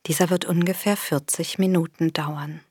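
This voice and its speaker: A woman with a soft, breathy voice gently guiding a body scan meditation in a soothing manner. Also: sits low, steady tone, dry recording, studio quality. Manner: gentle, soothing, unhurried instruction with long pauses. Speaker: meditation guide. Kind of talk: guided body scan meditation, scripted narration.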